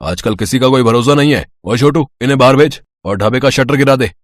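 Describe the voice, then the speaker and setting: A man's voice: mid-range voice, a little fast, no echo, clean studio sound, natural voice. A man playing an arrogant, heartless rival restaurant owner in a moral story, taunting and dismissive. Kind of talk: dramatised moral story acting.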